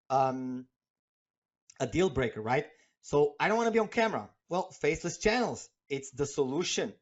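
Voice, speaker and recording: A man's voice: fairly high pitch, muffled recording. A man for whom English is a second language, hosting a live sales webinar in a chatty, persuasive way, full of fillers and "okay?" checks. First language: not English. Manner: chatty and persuasive, reads names from chat, many fillers and tag questions. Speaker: webinar host selling software.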